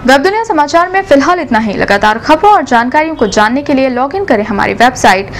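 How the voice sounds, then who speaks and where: A young woman news anchor reading scripted headlines in a formal, even newsreader delivery, recorded in a TV news studio. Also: sits high, quick pace, over music.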